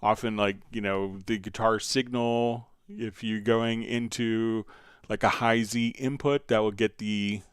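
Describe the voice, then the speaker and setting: A man hosting a live software tutorial, answering viewer questions casually and thinking aloud in a halting way as he demonstrates. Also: fairly low pitch, steady tone, clean tone, no echo, clean studio sound.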